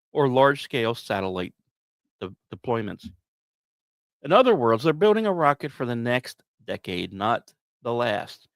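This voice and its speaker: A man hosting a podcast, reading scripted narration in a measured, dramatic way with emphatic pauses and casual asides. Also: medium pitch, no echo. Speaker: podcast host.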